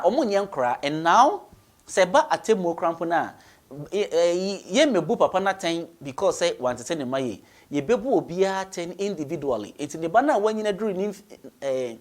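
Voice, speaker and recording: A man with a Ghanaian accent hosting radio commentary, giving opinions emphatically, repeating phrases for stress and switching between two languages. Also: a little high. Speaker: radio show host. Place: radio studio.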